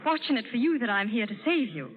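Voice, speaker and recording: A woman playing a spirited, headstrong young gentlewoman in an old-time radio drama, with a poised, lofty manner. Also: on the high side, very animated, fast talker, faint music behind. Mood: willful, defiant. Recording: tinny vintage radio, live audience.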